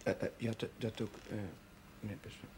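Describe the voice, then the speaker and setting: A young man playing a shy, earnest curate in a television period drama, halting, polite and deferential. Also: lowish voice, little pitch movement, darkish tone, natural voice. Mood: awkward. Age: young adult. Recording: faint noise on old TV audio.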